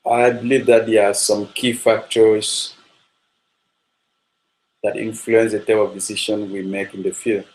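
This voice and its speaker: A man from Nigeria, a football coach giving a measured talk on his coaching philosophy with frequent fillers. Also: on the low side, flat delivery, a little mellow, poor audio.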